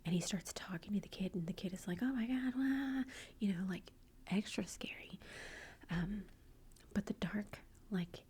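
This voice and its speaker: A woman, a mother, telling a story about a movie in a soft, chatty whisper full of fillers. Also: fairly low pitch, steady tone, normal speed, breathy edge.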